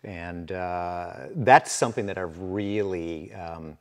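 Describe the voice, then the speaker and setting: Deep-voiced man in his sixties. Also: slow pace. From Canada. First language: Finnish. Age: 60s. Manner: rambling, many fillers and restarts. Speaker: winemaker guest. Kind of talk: relaxed interview conversation. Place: winery barrel room, indoors.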